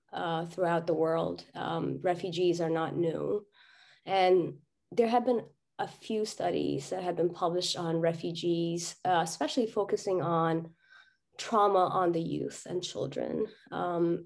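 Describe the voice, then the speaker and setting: A young woman giving a slide presentation online, her voice a little raspy as she gets over a cold. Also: lowish voice, darkish tone. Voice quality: slightly raspy. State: getting over a cold, voice worn from chanting. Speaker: guest speaker, doctor. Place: online video conference.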